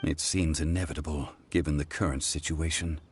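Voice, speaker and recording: Calm deep-voiced scholarly game gentleman, very formal n measured, bit of noise. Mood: grave, pensive. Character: calm, dignified, learned gentleman consultant. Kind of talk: video game character voice acting.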